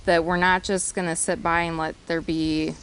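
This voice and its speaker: A woman's voice: fairly low pitch, flat delivery, moderate pace, smooth. A woman interviewed for the news, speaking earnestly and passionately with some restarts. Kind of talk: news soundbite.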